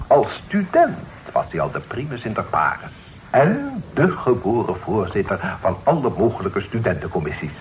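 An actor playing a sober, dignified middle-aged father, speaking formally and deliberately in a period radio play. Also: fairly low pitch, huge pitch swings, poor audio. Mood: polite, genial. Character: sober, reasonable, dignified father of a genteel family. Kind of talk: period radio drama acting. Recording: old radio recording with faint noise.

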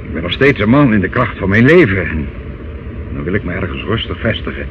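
An actor in a Dutch radio play, playing a self-satisfied, menacing older uncle who wheedles and talks down in a hesitant, smooth way. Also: male voice, low voice, very animated, brisk. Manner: wheedling, hesitant, condescending, calls listener child. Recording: dull, noisy old radio recording.

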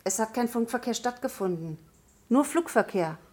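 A woman's voice: a little high, animated, moderate pace, darkish tone. A woman playing a flight school assistant in a radio drama, clipped, stern and suspicious. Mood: curt, guarded. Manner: clipped, stern, matter-of-fact. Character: curt, suspicious female flight instructor's assistant.

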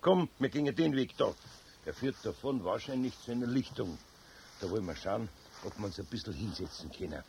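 An actor playing a good-natured, grumbling older master craftsman with a Bavarian accent in a children's radio play, husky and homely. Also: lowish voice, animated, a little fast, a little mellow, a little hiss or hum. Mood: calm, contented.

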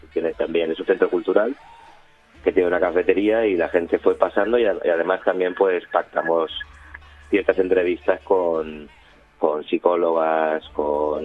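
Very deep male voice on a tinny phone. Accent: Spain. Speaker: radio interview guest, community radio member. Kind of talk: conversational interview answers. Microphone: phone call. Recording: tinny phone line with music behind.

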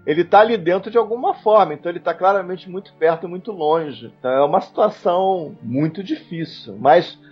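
A Brazilian man with a rather high voice takes part in a podcast discussion. He thinks aloud, stopping and repeating words as he analyses. The audio is slightly dull, with music underneath. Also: animated, fairly quick, few pauses, breathy edge. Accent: Brazilian Portuguese. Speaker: podcast panelist. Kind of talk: casual analytical discussion of a TV series.

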